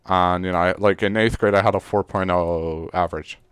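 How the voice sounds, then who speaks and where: A man's voice: low-pitched, fairly even intonation, brisk, fairly bright tone, clean tone, no room sound. A Serbian teenage boy, a native Serbian speaker, co-hosting a podcast in casual chat, rambling with many fillers and restarts. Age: teens. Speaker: podcast co-host.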